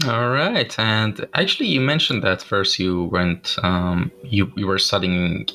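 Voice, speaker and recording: A man hosting a podcast interview, friendly and quick with repeated affirmations. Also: lowish voice, sharp tone, slight background noise. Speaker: podcast host.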